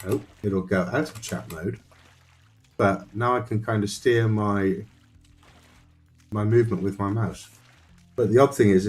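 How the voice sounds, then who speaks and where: A man's voice: on the low side, a little mellow. A man thinking aloud and chatting with viewers while playing a survival game on a live stream. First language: Dutch. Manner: rambling, thinks aloud, talks to chat.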